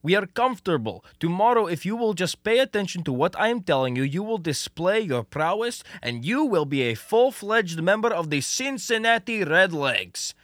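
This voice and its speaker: A man's voice: sits high, very animated, normal speed, hardly any pauses, a bit bright, no echo, studio quality. A young man acting in a radio comedy as a confident, scheming who coaxes in wordy, stilted foreign phrasing. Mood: reassuring. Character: scheming, confident Russian male defector posing as an American. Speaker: student actor.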